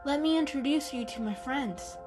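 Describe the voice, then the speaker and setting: Woman voicing a high, eager young tomcat kitten. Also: normal speed, over music, close to an everyday voice. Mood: calm, reassuring. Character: friendly, eager young male kitten, polite and reassuring.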